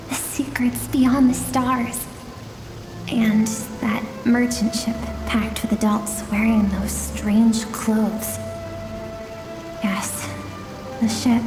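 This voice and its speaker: A woman voicing a mysterious, gentle guide who recounts memories in a video game, soft, deliberate and wistful, with echoing reverb. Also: fairly high pitch. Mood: wistful, nostalgic. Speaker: narrator and guide. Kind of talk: dramatic storytelling in a video game. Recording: echoing hall reverb with music.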